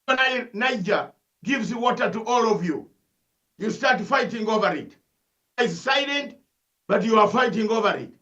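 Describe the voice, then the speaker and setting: A man's voice: sits high, animated, a little slow, sharp tone, muffled recording. An older man with a Ugandan accent, speaking as an analyst guest in an impassioned, preachy way, firing rhetorical questions and repeating his points. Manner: emphatic, preachy, full of rhetorical questions and repetition. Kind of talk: impassioned interview commentary.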